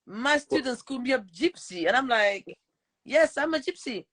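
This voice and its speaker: A woman's voice: high voice, lively intonation, moderate pace, fairly bright tone. A woman from Portugal and Switzerland hosting a casual live interview, informal and given to repeating her words. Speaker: podcast host.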